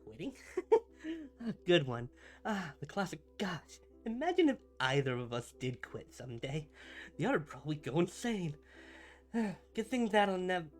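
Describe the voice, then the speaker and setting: A man playing a needy but kind-hearted supervillain in a roleplay ASMR monologue, speaking to the listener in a dramatic, earnest, halting way. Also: fairly high pitch. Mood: amused disbelief. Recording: music behind the voice. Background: background music.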